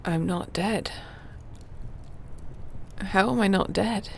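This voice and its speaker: A woman playing a gentle, wry warrior shifter with healing magic in an intimate fantasy audio roleplay, speaking softly and soothingly without hurry. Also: mid-range voice, takes it slowly, vocal fry, a little hiss or hum. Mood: relieved, wondering.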